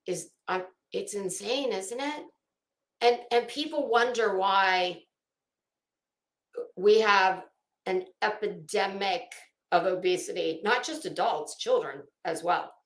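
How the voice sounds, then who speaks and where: A woman's voice: mid-range voice, slow pace, roomy sound. A woman hosting a casual livestream, chatting to viewers in a rambling, opinionated, emphatic way. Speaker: livestream host.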